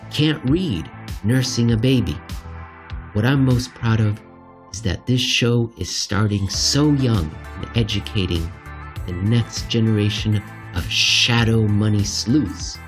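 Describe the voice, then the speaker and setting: A man hosting a reading of a written commentary, narrating with theatrical, wry emphasis, deliberate pauses and casual asides. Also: medium pitch. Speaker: host reading an article aloud.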